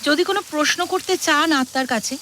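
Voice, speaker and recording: An actress playing a self-assured, polite spirit medium in a Bengali audio drama, speaking in a measured, formal way. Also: female voice, high voice, bright, forceful tone, a little hiss or hum. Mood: composed, determined.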